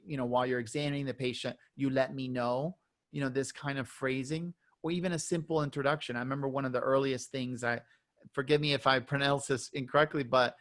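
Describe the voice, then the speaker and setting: A man's voice: medium pitch, fairly bright tone. A man, a doctor, talking earnestly as a webinar panelist over a video call, often repeating words. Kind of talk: webinar discussion.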